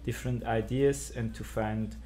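A European man, a musician, speaking in a measured, thoughtful way in an interview. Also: fairly low pitch, steady tone, mellow. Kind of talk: casual interview.

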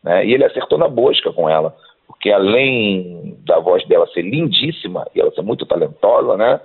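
Man with a gravelly voice on the phone. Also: on the low side, animated, normal speed. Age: 50s. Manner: hesitant, restarts often, ends lines with "né?". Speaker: songwriter and author guest. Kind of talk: casual interview answers. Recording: tinny phone line.

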